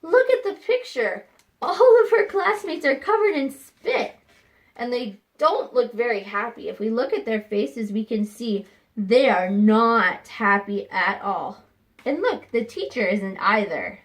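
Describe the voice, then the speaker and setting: Animated, high-voiced woman reading to children. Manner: expressive storybook delivery, pausing to think aloud. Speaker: teacher reading a picture book aloud to young students. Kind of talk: read-aloud lesson with questions to children.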